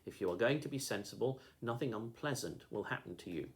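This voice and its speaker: A man's voice: mid-range voice, expressive, fairly quick, natural voice. A man doing a cold, threatening kidnapper. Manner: cold, commanding. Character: menacing adult male kidnapper, cold and threatening.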